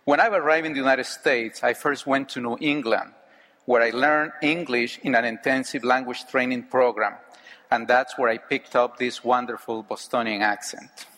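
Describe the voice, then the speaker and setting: A middle-aged man from Mexico, speaking English with a Mexican Spanish accent, giving an earnest, measured personal testimony speech. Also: medium pitch, steady tone, fairly bright tone. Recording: hall with long reverb.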